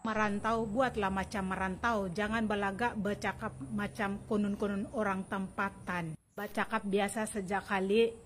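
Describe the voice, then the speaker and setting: A woman's voice: mid-range voice, fairly even intonation, moderate pace, a little hiss or hum. A woman with a Sabah Malay accent ranting angrily to camera, scolding and confrontational. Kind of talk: angry rant to camera.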